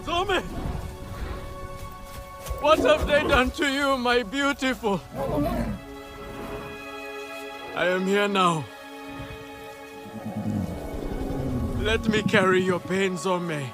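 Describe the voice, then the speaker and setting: A formal alien hunter, high and slow. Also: male voice. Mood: grieving. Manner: formal, old-fashioned speech. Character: devoted young male alien hunter. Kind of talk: video game cutscene acting.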